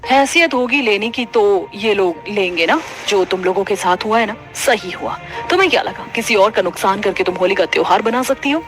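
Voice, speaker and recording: A woman's voice: medium pitch, expressive, moderate pace, hardly any pauses, over music, natural voice. A woman playing a haughty, taunting rich neighbour in a cartoon story. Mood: scornful, smug. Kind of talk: Hindi cartoon story voice acting.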